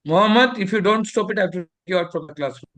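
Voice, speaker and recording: A man with an Indian English accent teaches a classroom in an emphatic, lecturing way. His voice sits a little high for a man. He sometimes turns to scolding his students. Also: quick pace, a bit bright.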